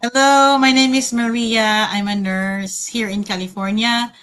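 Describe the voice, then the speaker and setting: A woman from the Philippines, a nurse, calmly introducing herself on a call. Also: on the high side, a little slow, clean tone. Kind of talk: short self-introduction.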